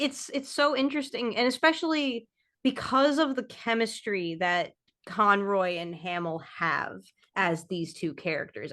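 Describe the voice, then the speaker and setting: A woman's voice: medium pitch, fairly bright tone. A woman co-hosting a casual discussion podcast, talking emphatically and repeating phrases for stress. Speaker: podcast co-host.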